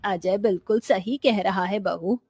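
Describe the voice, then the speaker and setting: A woman's voice: medium pitch, measured pace, a little mellow, husky, no room sound, studio quality, pitched below the performer's own voice. A woman voicing an older, cautious village mother-in-law with a stern, maternal manner in a storybook tale. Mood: worried, stern.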